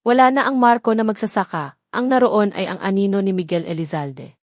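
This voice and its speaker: A woman reading a scripted romance story as its narrator, in a measured, dramatic storytelling manner. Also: medium pitch, no room sound, studio quality.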